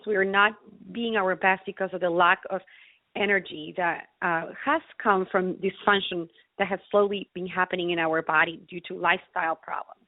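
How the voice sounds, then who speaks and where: A woman's voice: mid-range voice, no echo. Woman, dull narrow sound. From Ecuador. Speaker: interview guest, naturopathic physician. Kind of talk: conversational health explanation.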